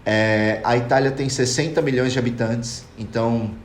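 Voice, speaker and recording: A man's voice: mid-range voice, fairly even intonation, moderate pace. A young Brazilian man living abroad, talking earnestly and reflectively in a casual podcast chat. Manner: earnest, reflective, addresses listeners as "cara". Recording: echoey room with faint noise.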